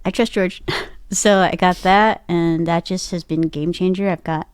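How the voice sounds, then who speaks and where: A woman's voice: fairly low pitch, fairly even intonation, normal speed, clean tone, dry recording, clean studio sound. A woman chatting casually as an interview guest, speaking into a podcast microphone. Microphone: dynamic podcast mic in a production room.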